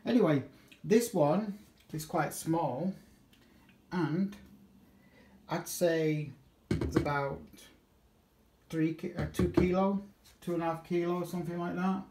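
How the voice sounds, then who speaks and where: A man's voice: on the high side, slow, long pauses, darkish tone, slight background noise. A man giving a casual, unscripted demonstration in a hesitant, rambling way, correcting himself as he goes, recorded on a handheld phone in a home kitchen. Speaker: amateur radio hobbyist vlogger. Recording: echoey room, handheld camera.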